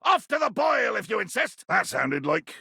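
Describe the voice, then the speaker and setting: An actor playing a small, hot-tempered, pompous pirate in a video game, with a gravelly, raspy voice and florid, theatrical, old-fashioned phrasing. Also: male voice, high-pitched, sing-song, moderate pace, bright, forceful tone, no echo, clean studio sound, full character voice. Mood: grumpy, hostile. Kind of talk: video game character acting.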